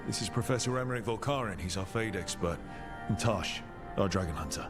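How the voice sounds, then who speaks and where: A man acting a steady game hero who leads a team. His low voice is measured and earnest, with clear music behind it. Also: close to an everyday voice.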